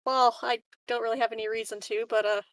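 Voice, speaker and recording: A woman's voice: a little high, level tone, fast talker, fairly bright tone, dry recording, poor audio. A woman playing a tabletop roleplaying game, improvising conversation in a hesitant, politely wry manner.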